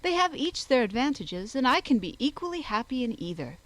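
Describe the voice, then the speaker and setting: A woman voicing an amiable, impulsive young gentleman with an eager manner in a period novel audiobook. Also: sits high, sing-song, a little fast, pitched above the performer's own voice. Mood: agreeable. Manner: eager, good-natured.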